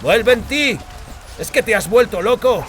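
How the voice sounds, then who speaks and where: A man's voice: high-pitched, expressive, a little slow, fairly bright tone, soft background music, voice pushed up. A man voicing a wounded, stubborn male warrior in a dramatised audiobook, urgent, with a trembling edge. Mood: frantic.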